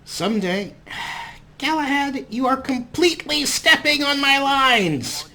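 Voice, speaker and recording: A man's voice: very high-pitched, lively intonation, slow, bright, forceful tone. A man hosting a let's play, giving sarcastic, playful commentary and role-playing his character. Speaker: host.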